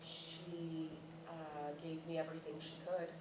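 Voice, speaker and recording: A woman's voice: low voice, completely flat delivery, measured pace. An actress playing a grieving, defensive middle-aged mother in a staged play reading, blunt and sardonic. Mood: tender, reflective. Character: grieving mother of a grown daughter, prickly and defensive. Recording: echoey noisy room, distant mic.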